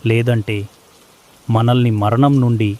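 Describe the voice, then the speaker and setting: A man's voice: mid-range voice, level tone, a little slow, dark tone, a little hiss or hum, lightly acted. A man voicing a worried, practical male sparrow who pleads earnestly in a children's cartoon story. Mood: urgent, pleading.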